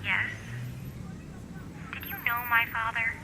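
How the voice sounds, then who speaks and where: An actress playing an earnest, gentle grieving daughter in an audio drama, asking measured, probing questions. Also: female voice, fairly high pitch, steady tone, noisy background. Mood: sombre, gentle. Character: bereaved grown daughter, searching, earnest and gentle. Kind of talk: naturalistic audio drama acting.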